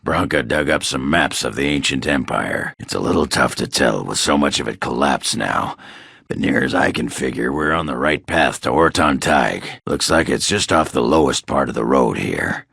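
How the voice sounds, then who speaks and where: An extremely low, husky dwarf warrior from a fantasy game. He is gruff and crude, grumbling bluntly in salty language. The sound is dry and close, with no room on it. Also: lively intonation, moderate pace, fairly bright tone, dry recording, exaggerated voice. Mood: matter-of-fact. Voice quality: very deep, gravelly, rough. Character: gruff, crude dwarf warrior searching for his lost love. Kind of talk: video game character dialogue.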